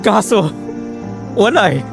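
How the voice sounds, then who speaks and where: A man's voice: high-pitched, very animated, slow, creaky, over music, heavily acted. A man playing an earnest, persevering husband who pleads for his family in a Filipino audio drama. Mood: dismayed.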